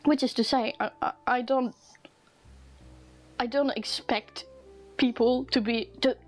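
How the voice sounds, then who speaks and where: A young woman from Eastern Europe chatting casually in a rambling vlog while drawing, amused and quick to laugh, acting out the people she quotes. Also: a little high, steady tone, faint music behind. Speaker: vlogger.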